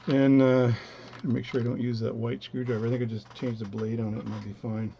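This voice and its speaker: A man with a low voice talking through his watch repair at a home workbench, relaxed and rambling as he narrates each step. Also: a little fast, creaky, lo-fi sound, slight background noise. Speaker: watchmaking hobbyist demonstrating. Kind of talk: casual bench demonstration, talking while working.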